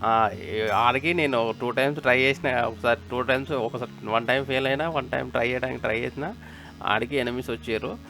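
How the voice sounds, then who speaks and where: A man's voice: mid-range voice, fairly even intonation, a little fast, vocal fry, pushed and bright, a little hiss or hum. A man with a Telangana Telugu accent giving casual, informal game update commentary, repeating himself and advising listeners directly. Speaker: gaming video creator. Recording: music behind the voice. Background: music.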